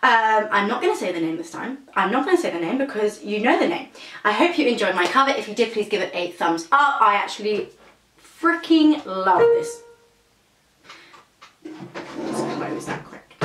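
A woman's voice: fairly high pitch, a bit bright, reverberant. A young British woman chatting casually to camera at home, rambling and poking fun at herself. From Britain. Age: young adult. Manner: chatty, rambling, self-mocking. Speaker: singer and vlogger. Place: small room indoors at home.

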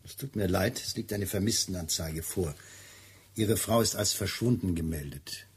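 An actor playing a polite, skeptical police inspector in a radio drama, with a husky voice and a dry, probing manner. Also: male voice, sits low, moderate pace. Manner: probing, dry, hesitant lead-ins. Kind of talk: German radio drama acting.

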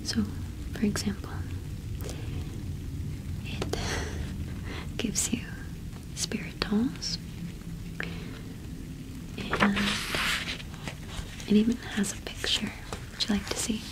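A young woman whispering an ASMR roleplay, explaining gently and softly with unhurried pauses. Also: medium pitch, little pitch movement, rough recording. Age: young adult. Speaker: ASMR host in a cottage witch roleplay.

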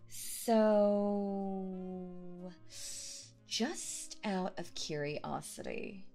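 A woman's voice: mid-range voice, dark tone. A woman playing a sweet but possessive, obsessive young woman on a date in a roleplay audio, stammering nervously and prone to jealousy. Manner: flustered, stammering, nervous giggles, swinging to jealous. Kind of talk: roleplay audio acting, intimate one-sided dialogue.

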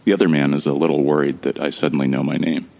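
A man's voice: rumbling low, level tone, fast talker, creaky, darkish tone. A man narrating a sci-fi audio drama in the first person, in a wry, joking and casual way. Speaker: narrator and protagonist.

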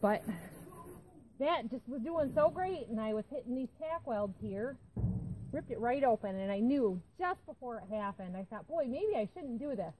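A woman in her forties chats away as she works outside by a barn, thinking aloud in a slightly high voice. The sound comes from a camera set up nearby, with faint outdoor noise behind her. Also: animated, moderate pace, mellow, rough recording. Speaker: homesteading channel host. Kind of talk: casual talk while working. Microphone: camera on a tripod nearby.

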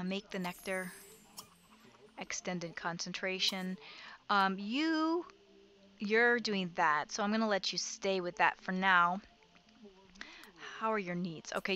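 A woman's voice: medium pitch, sharp tone. A woman casually commenting as she plays a life-simulation game, thinking aloud and giving her characters directions. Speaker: let's play host.

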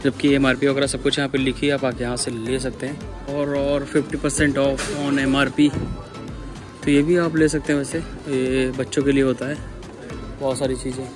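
A North Indian man talks through a casual shopping vlog in a mid-pitched, flat voice, with music clearly playing behind him. He speaks to viewers like buddies and circles back over the same phrases as he walks a large store with his camera. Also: moderate pace. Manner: repetitive, addresses viewers as buddies. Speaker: vlogger. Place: large busy supermarket, indoors.

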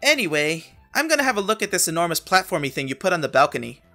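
A woman voicing an excitable, easily exasperated young boy in a web comic dub, with a casual, exclamatory delivery. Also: fairly low pitch, quick pace, soft background music. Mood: defensive, irritated.

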